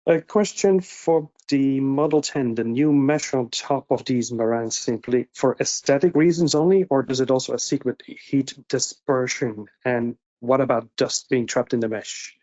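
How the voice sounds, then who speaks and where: A man's voice: medium pitch, normal speed, hardly any pauses, darkish tone. A middle-aged man co-hosting a webinar over a video call, reading audience questions aloud. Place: indoor room on a video call. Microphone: video call microphone. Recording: dull, band-limited video-call audio.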